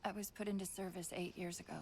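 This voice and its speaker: A woman playing a quick-witted female AI companion in a game cutscene, brisk and wry with clipped urgency. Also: lowish voice, little pitch movement, a little mellow, a little hiss or hum, close to an everyday voice. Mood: subdued. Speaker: ship's AI.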